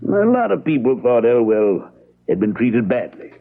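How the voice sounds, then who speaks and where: An actor playing a reserved, evasive American attorney in old-time radio drama style, hedging in a measured, matter-of-fact way. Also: male voice, medium pitch, sing-song. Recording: tinny, narrow-band vintage radio broadcast.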